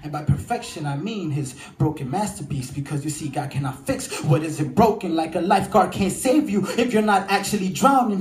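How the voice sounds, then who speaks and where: A man's voice: high voice, darkish tone. A man performing rhythmic, rhyming spoken word as a church poet, with emphatic delivery. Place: church hall during a live service. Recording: roomy live church sound over music. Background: music.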